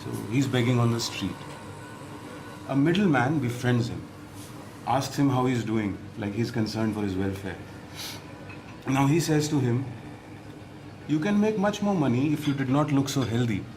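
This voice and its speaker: An actor playing a streetwise, grave local fixer who explains things tersely and matter-of-factly in an audio drama. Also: male voice, medium pitch, a little slow, long pauses, a little hiss or hum, natural voice, low-quality recording. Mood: grave, explaining.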